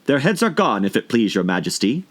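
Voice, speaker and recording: A man's voice: medium pitch, sing-song, brisk, close to an everyday voice. A man voicing humble, obedient male underlings of a royal court in a storybook, with deferential manner.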